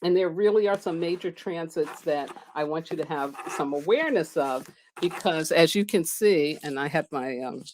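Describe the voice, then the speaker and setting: A low-pitched woman talks loosely and warmly to her live-stream viewers about astrology. She speaks loudly, often laughs, and jumps high when she gets excited, with her microphone resting on a table. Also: normal speed, slight background noise. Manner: loud voice, mic set down on the table. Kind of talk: casual live-stream talk.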